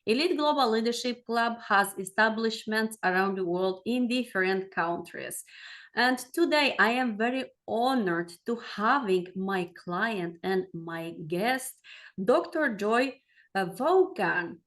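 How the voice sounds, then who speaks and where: A woman's voice: mid-range voice, animated, measured pace, a bit bright. A woman hosting a talk show, introducing her guest in a formal, effusive way. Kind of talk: interview hosting.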